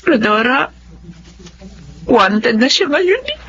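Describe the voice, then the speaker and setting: A troubled, apologetic Filipino-accented mother in a radio drama. Her voice is a little high and swings widely, with faint noise on a dull recording. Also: sing-song, slow pace, a bit bright, heavily acted. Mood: apologetic, burdened. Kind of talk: Tagalog radio drama acting.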